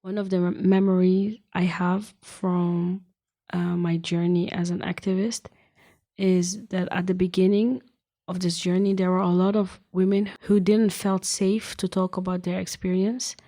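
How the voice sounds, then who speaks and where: A woman answers interview questions as a youth activist. Her voice is mellow and a little low, with very level intonation. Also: flat delivery, unhurried. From Netherlands. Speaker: youth activist, podcast co-host.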